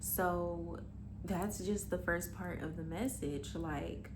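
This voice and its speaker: A woman's voice: lowish voice, slow pace, background noise. A woman in her twenties giving a casual motivational monologue, candid and emphatic, swearing freely and calling the listener honey. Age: 20s. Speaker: spiritual vlogger.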